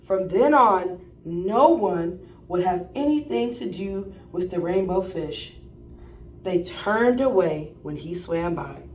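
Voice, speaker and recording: A woman teacher reading a children's picture book aloud in an expressive storytelling style. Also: lowish voice, slow pace. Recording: roomy, distant mic, faint noise.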